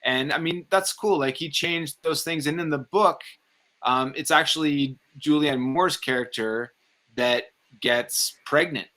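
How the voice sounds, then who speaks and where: Male co-host, fairly high bright voice, slangy hesitant delivery in loose movie chat. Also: measured pace. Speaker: film review show co-host. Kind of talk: casual film discussion.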